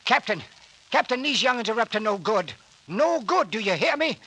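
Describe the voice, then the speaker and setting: An actor playing a cranky, suspicious old cemetery caretaker, agitated and folksy, in an old-time radio drama. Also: male voice, high voice, sing-song, moderate pace, bright, forceful tone, exaggerated voice. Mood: angry, accusing. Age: older.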